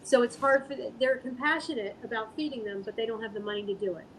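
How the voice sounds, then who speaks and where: A woman speaking as an interview guest, answering casually in an earnest, halting, thoughtful way. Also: on the high side, slight background noise, low-quality recording. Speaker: guest artist and animal rescue volunteer.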